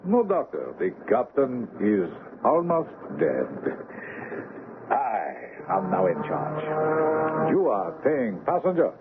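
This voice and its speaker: An actor playing a calm, sinister ship's mate suspected of sorcery, speaking in measured, stilted, fatalistic phrases in an old radio drama. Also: male voice, medium pitch. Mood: smug, commanding. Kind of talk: vintage radio drama acting. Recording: noisy, muffled old radio broadcast.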